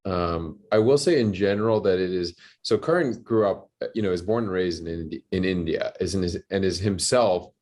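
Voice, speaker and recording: A man in his thirties with a low voice speaks as an interview guest. He is a director and physician who gives measured, articulate explanations. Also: a little mellow.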